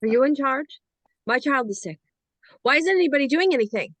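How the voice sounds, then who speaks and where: A woman's voice: high voice, animated, fairly quick, fairly bright tone, dry recording. A woman reading the part of a desperate parent of a sick child, urgent and demanding. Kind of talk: script read-through.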